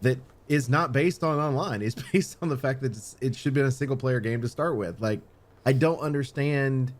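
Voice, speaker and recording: A man's voice: mid-range voice, moderate pace. A man co-hosting a podcast in casual conversation, emphatic and repeating his points. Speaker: podcast co-host.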